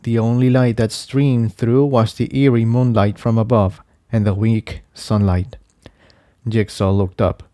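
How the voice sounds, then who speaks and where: A man's voice: fairly low pitch, level tone, measured pace, mellow, no echo, studio quality. A man reading a scripted adventure story as narrator, in audiobook style. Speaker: fan fiction narrator.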